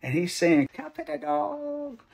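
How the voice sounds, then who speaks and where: A man's voice: very high voice, sing-song, unhurried, gravelly, a little mellow, pitched above the performer's own voice, heavily acted. A man playing a dramatic reader of parody scripture, declaiming slang verse in an emphatic, mock-earnest rhythm.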